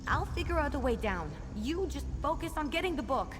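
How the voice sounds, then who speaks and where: Cheeky young girl mage from a game, chatty, bouncy pitch, faint music behind. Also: fairly quick. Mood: excited, urgent. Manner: playful, expressive, chatty. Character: cheeky, impulsive young female mage apprentice companion. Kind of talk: video game character dialogue.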